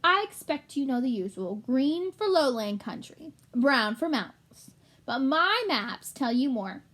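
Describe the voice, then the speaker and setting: A fussy little male rat voiced by a woman from a children's storybook, high in pitch and full of self-importance. He talks like a proud mapmaker, haughty and easily affronted. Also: sing-song, fairly bright tone, heavily acted. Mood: businesslike. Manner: haughty, quick to take offence.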